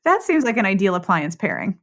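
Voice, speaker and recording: A woman's voice: medium pitch, very animated, a little fast, fairly bright tone. A woman hosting a podcast, chatting casually and playfully as she interviews a guest.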